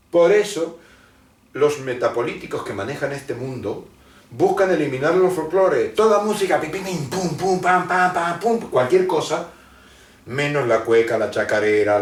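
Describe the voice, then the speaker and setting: A man from Argentina, a guest in an interview who explains his ideas at length and often hesitates and restarts his sentences. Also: mid-range voice. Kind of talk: conversational interview, lecturing. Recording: roomy sound from a distant mic.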